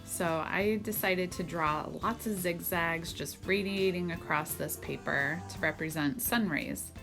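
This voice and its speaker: A woman's voice: fairly low pitch, measured pace. A woman art teacher narrating a children's craft tutorial, explaining simply and step by step. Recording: music behind the voice. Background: background music.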